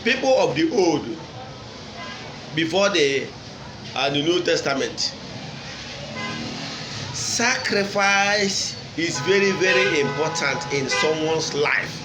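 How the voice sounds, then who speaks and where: A man from Nigeria with an Igbo accent, a native Igbo speaker, giving an unscripted religious lecture in a deliberate, emphatic, instructive way. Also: a little high, lively intonation, music in the background. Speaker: traditional religion teacher and online video host. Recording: echoey room with clear background noise.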